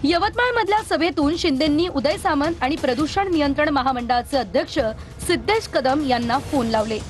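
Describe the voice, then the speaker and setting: A woman reads scripted news narration in a very high-pitched voice. A faint music bed runs behind her voice-over. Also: animated, normal speed.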